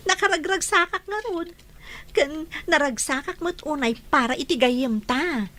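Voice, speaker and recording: High-pitched cheerful young woman, radio drama, eager gossiping friend. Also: a little slow, a bit bright. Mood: cheerful, excited. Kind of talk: Ilocano radio drama acting.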